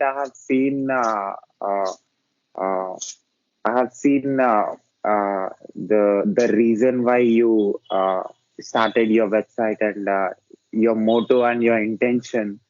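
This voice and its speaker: A man's voice: mid-range voice, slow pace, darkish tone, dry recording. A man hosting a casual interview podcast, talking hesitantly with many filler sounds and restarts. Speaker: podcast host. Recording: dull dry lo-fi audio.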